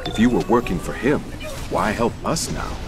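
An actor playing a grave, noble alien superhero elder in a video game, solemn and formal. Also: male voice, fairly low pitch, lively intonation, normal speed. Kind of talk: video game cutscene acting. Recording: game music behind.

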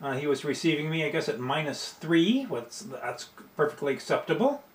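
A man in his sixties with an American accent casually narrates an amateur radio demonstration in a halting, unscripted way. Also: a little high, big pitch swings. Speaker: amateur radio operator and video host. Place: small home radio room, indoors. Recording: roomy, loud background radio noise. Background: loud radio hiss and signal tones.

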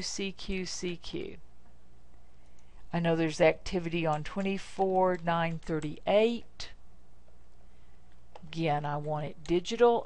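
An American woman demonstrating software step by step, speaking informally in short, deliberate instructional phrases. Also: fairly low pitch, no echo. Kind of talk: step-by-step screencast tutorial narration.

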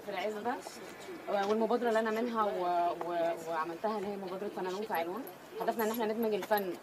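A woman's voice: medium pitch, very fast. A woman with an Egyptian Arabic accent, giving a spoken presentation to an audience. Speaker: arts initiative presenter. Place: outdoor rooftop. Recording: loud crowd noise outdoors.

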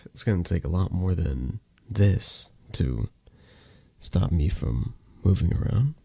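Guy doing a yandere mafia boss boyfriend asmr, slow breathy husky talk, super close and dry, possessive but tender. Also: low-pitched, animated, no echo, exaggerated voice. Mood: soft, affectionate.

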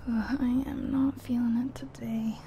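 Soft whispery lady doing asmr taxi driver roleplay, super warm dark tone, bit of background noise. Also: fairly high pitch, flat delivery, unhurried. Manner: soft, whispery, gentle delivery. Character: friendly, chatty female taxi driver. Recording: faint background noise of game car sounds. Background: car sounds from a driving game.

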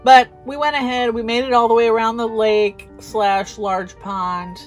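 A woman's voice: fairly high pitch, fairly even intonation, measured pace, soft background music. An American woman in her thirties giving a casual, conversational recap as a vlog host, talking inside a small camper.

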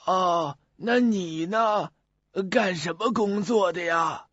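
A man playing a chatty, boastful old theater odd-jobber with a husky, creaky voice and folksy manner in a radio drama. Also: sits high, takes it slowly, fairly bright tone, no room sound, full character voice. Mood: friendly, curious. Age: older. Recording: muffled lo-fi audio with faint music.

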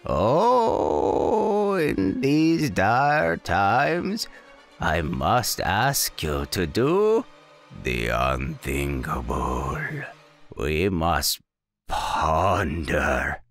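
A man's voice: low voice, big pitch swings, extremely slow, soft background music, heavily acted. A man voicing a grave, pompous, solemn creature in an audio drama.

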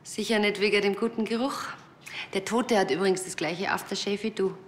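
A woman's voice: medium pitch, brisk, a little hiss or hum, lightly acted. Actress as a dry female pathologist. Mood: teasing. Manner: dry, matter-of-fact. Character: cool, matter-of-fact female pathologist with dry wit.

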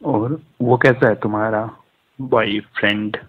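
A man's voice: fairly low pitch, steady tone, takes it slowly, husky. A man chatting casually on a recorded phone call, joking and teasing.

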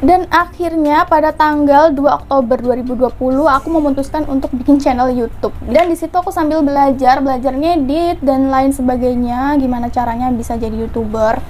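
Young woman vlogger, high-pitched, casual chat into a lapel mic, faint noise behind. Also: fairly even intonation, fairly quick, few pauses, darkish tone. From Central Java, Indonesia. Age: 20s. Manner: chatty, often tags lines with "guys". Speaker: mukbang vlogger. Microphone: clip-on lapel mic.

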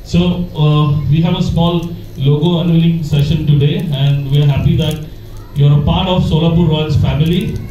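A high-pitched man gives a polite, formal welcome at a team event, pausing on fillers now and then. He is heard from a distance in a large, very echoey hotel function room with faint ambience. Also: steady tone, mellow, slight background noise. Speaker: team representative. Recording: echoey hall, heard from far off.

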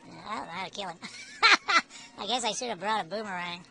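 A man's voice: high-pitched, very animated, brisk, bright, forceful tone, slight background noise. An older man giving casual gameplay commentary at home, thinking aloud, mocking himself and cursing mildly. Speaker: let's play gamer. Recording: dull lo-fi home recording.

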